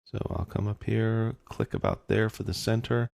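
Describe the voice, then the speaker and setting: A man giving a casual, step-by-step software tutorial as an instructor, explaining in informal phrasing into a close mic. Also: lowish voice, little pitch movement, moderate pace, vocal fry, no echo. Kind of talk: step-by-step screencast lesson, casual.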